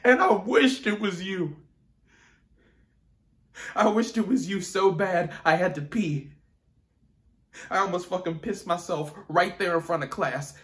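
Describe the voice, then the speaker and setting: A man's voice: sits high, fairly even intonation, normal speed, few pauses. An actor playing an angry, wounded teenage boy confronting his absent father in an intense, emotional theatrical monologue. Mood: raging, anguished. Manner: intense, emotional, swinging from hushed to explosive.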